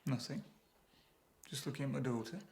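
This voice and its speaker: A man's voice: medium pitch, moderate pace, gravelly, noisy background, lightly acted. An actor playing a subdued, withdrawn middle-aged father in a naturalistic film drama, speaking in short, hesitant replies. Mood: subdued, distracted. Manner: hesitant, terse. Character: subdued, withdrawn father returning to work after suspension. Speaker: actor in a workplace safety drama.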